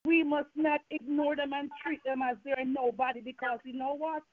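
A woman's voice: sits high, fairly even intonation, moderate pace. A woman with a Jamaican accent preaching a fervent evening sermon, full of repeated phrases and rhetorical questions. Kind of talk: evening sermon with call and response. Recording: tinny phone-line sound.

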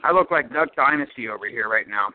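A man's voice: medium pitch, expressive, fast, slight background noise. A man calling in on a phone chat line, griping and cursing freely in casual talk. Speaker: caller and guitar player. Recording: tinny dry phone line.

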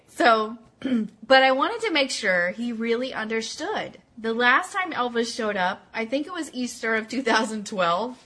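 A woman hosting a casual live fan show, chatting and reading aloud in an excitable, informal, giggly way. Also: fairly high pitch. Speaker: host of an Elvis fan radio show.

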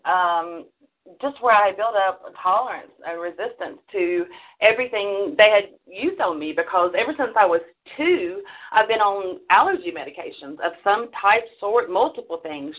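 A woman's voice: mid-range voice, lively intonation, moderate pace, narrow, tinny audio. A middle-aged woman co-hosting a call-in show, chatting casually to listeners in a folksy, emphatic way over band-limited call audio.

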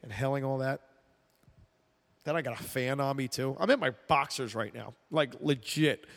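A man hosting a casual sports talk show, sounding congested, rambling and animated as he mocks sports fans. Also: medium pitch, moderate pace, vocal fry, long reverb tail. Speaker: podcast host. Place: air-conditioned room at home. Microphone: headphones with mic.

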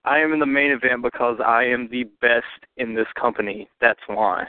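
Boastful smooth-voiced man on a phone line. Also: mid-range voice, moderate pace, dry recording. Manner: boastful, defiant, talks over others. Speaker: wrestling challenger cutting a promo. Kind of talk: trash-talking wrestling promo over a call.

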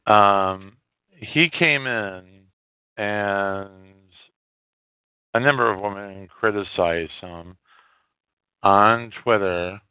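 A man hosting a podcast, giving casual news commentary in a halting, hesitant way with pauses. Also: fairly low pitch, clean tone, no room sound. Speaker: podcast host. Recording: narrow phone-like audio, dry.

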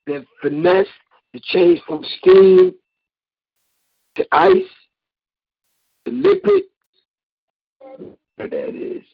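Hoarse raspy dude calling into the radio show, voice all over the place, kinda muffled. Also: male voice. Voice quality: raspy, gravelly. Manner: animated, preachy, swooping pitch. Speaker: caller and fellow radio host. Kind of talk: call-in radio chat. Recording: dull lo-fi call-in line.

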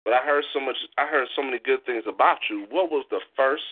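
A man hosting a casual interview in an animated, playful, slangy way, as a street poet who presents a show. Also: medium pitch, quick pace. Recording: tinny phone-line internet radio sound.

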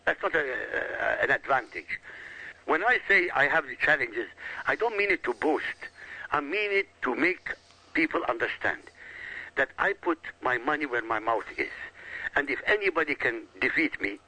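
A man who grew up in Iraq, arguing his point hard and insistently as a radio guest. His voice is sharp and gravelly, with a husky edge. His pitch swings widely as he drives each point home. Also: expressive, moderate pace, low-quality recording. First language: Arabic. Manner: emphatic, insistent and combative. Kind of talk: argumentative radio talk.

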